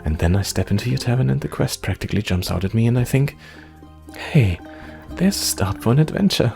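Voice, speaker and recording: A man's voice: mid-range voice, lively intonation, a little fast, creaky, a little mellow. A man voicing an anxious, self-doubting young adventurer from the forest, stammering and rambling in a visual novel. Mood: rambling, flustered. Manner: nervous, hesitant stammering and rambling. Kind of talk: visual novel character read-aloud. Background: music.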